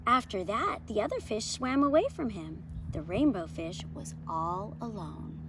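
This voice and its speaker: Female host for children's storybook lessons: upbeat, very expressive pitch, simple friendly delivery. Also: unhurried, background noise. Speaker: host of a children's read-aloud channel. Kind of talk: upbeat lesson intro and storybook read-aloud.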